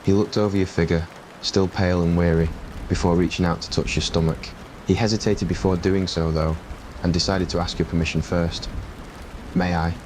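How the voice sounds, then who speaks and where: Very low, even-toned male story narrator. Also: a little fast, hardly any pauses. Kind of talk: scripted story narration, ASMR roleplay. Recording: clear rain noise behind voice. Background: rain ambience.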